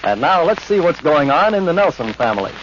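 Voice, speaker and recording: A man's voice: on the high side, very animated, brisk. A man announcing a classic radio comedy in an old-time broadcast style. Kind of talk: 1940s-50s radio announcing. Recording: dull old radio recording with music.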